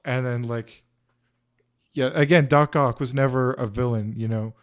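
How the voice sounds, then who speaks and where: A man's voice: medium pitch, fairly even intonation, unhurried. A man in his twenties co-hosting a podcast, rambling casually and filling his talk with "like" and "you know". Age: 20s. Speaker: podcast co-host. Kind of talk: casual film review chat.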